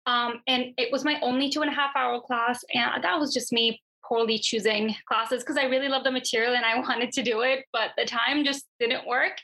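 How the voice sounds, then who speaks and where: A woman's voice: fairly high pitch, vocal fry, pushed and bright, husky, low-quality recording. A young woman from Turkey in her twenties, a journalist answering interview questions in a chatty, thoughtful way. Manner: chatty and thoughtful, with frequent fillers and restarts. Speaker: guest, journalist.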